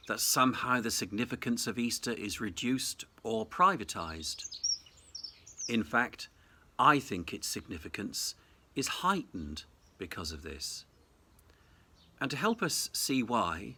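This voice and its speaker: A man, a cathedral dean, giving a reflective Easter message in measured, deliberate phrases. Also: fairly low pitch, noisy background.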